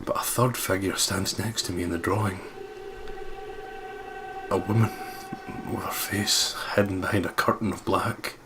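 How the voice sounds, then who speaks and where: A man's voice: low-pitched, steady tone, moderate pace, pauses often, background noise. A young man hosting a let's play, reading a visual novel aloud with playful, sweary commentary.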